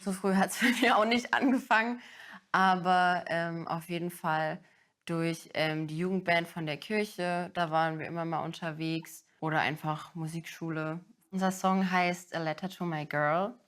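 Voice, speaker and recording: Lower-voiced eighteen-year-old woman. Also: steady tone, normal speed, fairly bright tone. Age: teens. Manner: casual, with fillers. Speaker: musician in a duo. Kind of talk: interview statement in a film.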